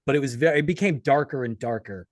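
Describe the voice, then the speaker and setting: Male interviewer, quick pace, mid pitch, dry sound, casual podcast chat. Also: no room sound.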